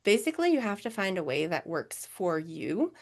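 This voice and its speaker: A woman's voice: mid-range voice, big pitch swings, moderate pace. A woman with a Minnesotan accent presenting a webinar over a video call, answering questions in a chatty way with many fillers. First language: English.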